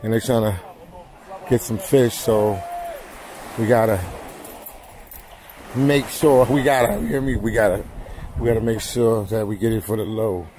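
A man's voice: fairly low pitch, fairly even intonation, a little slow, pauses often, background noise. A man with an American accent, a travel vlogger talking casually and slangily, often repeating himself. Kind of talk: casual vlog narration and chat. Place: outdoors on a busy beach, walking. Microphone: handheld camera held by the speaker. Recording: outdoor beach with people chattering around.

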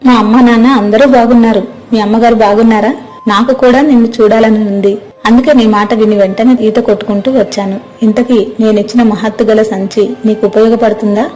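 A woman's voice: a little high, fairly even intonation, brisk, a little mellow, lightly acted, poor audio. A woman playing a friendly, earnest young mermaid who admires her friend in an acted moral story.

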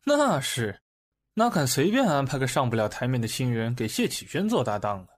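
A man's voice: mid-range voice, sing-song, moderate pace. An actor in a Mandarin audio drama playing a sardonic, gossipy male office colleague. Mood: knowing.

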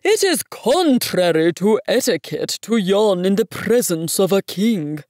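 A woman's voice: medium pitch, expressive, a little mellow, no room sound, studio quality, natural voice. A woman voicing a pompous old male king from a storybook, speaking in a grand, imperious manner of command. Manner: grand, imperious, slowly proclaiming.

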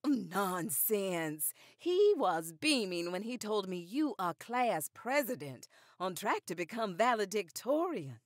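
A woman's voice: mid-range voice, very animated, slow, dry recording, clean studio sound. An actress playing a poised, formidable older activist lady of the 1910s with formal, precise diction in a radio drama. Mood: gracious. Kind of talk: period radio drama acting.

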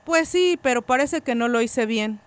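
A woman's voice: on the high side, expressive, moderate pace, close to an everyday voice. A woman playing an earnest, principled village mother in a radio drama. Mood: earnest, regretful.

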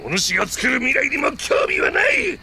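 A man's voice: extremely high pitch, sing-song, normal speed, noisy background, full character voice. A man voicing a scheming, volatile middle-aged warlord villain in a Japanese video game, melodramatic, swinging from sly muttering to shrieks. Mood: defiant, furious.

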